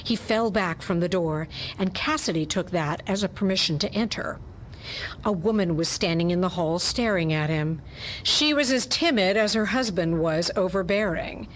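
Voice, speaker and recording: A woman's voice: on the low side, moderate pace. Woman narrating pulp detective fiction, faint noise.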